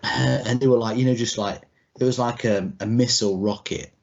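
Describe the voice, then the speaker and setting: A man co-hosting a podcast in casual chat, talking in a rambling, halting, informal way. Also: mid-range voice, steady tone, gravelly, a little mellow. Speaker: podcast co-host. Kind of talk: casual chat about retro cartoon video games. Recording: dull, muffled lo-fi audio.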